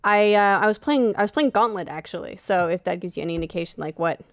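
A woman's voice: medium pitch, fast talker, no room sound, studio quality. A woman in her thirties co-hosting a gaming podcast in casual, conversational talk. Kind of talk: casual chat. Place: podcast studio, indoors. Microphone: studio podcast microphone at desk.

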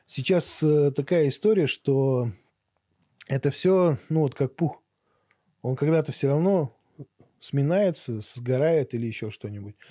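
A middle-aged man speaks as a guest in a conversational interview, explaining and telling anecdotes. His voice is dark and slightly rough, at an ordinary pitch. The sound is dry and close, from a treated podcast studio. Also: lively intonation, unhurried, no echo. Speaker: podcast guest, barber and teacher. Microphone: boom-mounted studio microphone up close.

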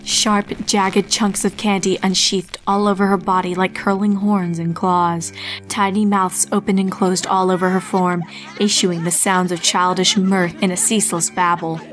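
A woman's voice: lowish voice, flat delivery, normal speed. A woman reading a scripted horror story as a dramatic narrator. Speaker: narrator of horror fan fiction. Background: music.

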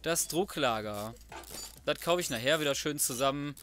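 Male gaming host, bright higher-pitched voice, casual slangy muttering, music behind. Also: moderate pace. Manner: colloquial, mutters to himself, mild swearing. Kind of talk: casual let's play commentary.

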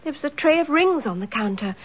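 A woman's voice: sits high, expressive, fairly quick. An actress playing an earnest, troubled, remorseful young woman in a radio drama, with a British accent. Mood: remorseful, frightened. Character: anxious, remorseful young woman confessing, timid but earnest. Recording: dull, band-limited radio recording.

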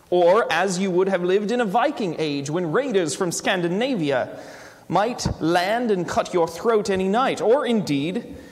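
A man in a church doing a dramatic reading as an eloquent, stoical British man of letters, with a put-on British accent and emphatic, measured delivery. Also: on the high side. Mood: emphatic, reasoning. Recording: reverberant church hall.

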